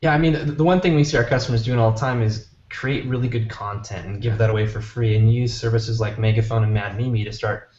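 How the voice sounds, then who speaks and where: A man's voice: lowish voice, steady tone, fast talker, few pauses, a little mellow. A man giving informal, encouraging advice as a guest in a casual conversation. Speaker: interview guest, app maker. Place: office. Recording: echoey, muffled, noisy office video call.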